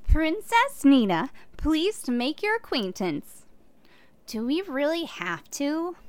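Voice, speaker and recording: A woman's voice: sits high, big pitch swings, slow pace, dry recording, full character voice. A woman voicing a sheltered, playful young princess with a bubbly, girlish, pleading manner. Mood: eager, bored. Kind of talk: fantasy character monologue.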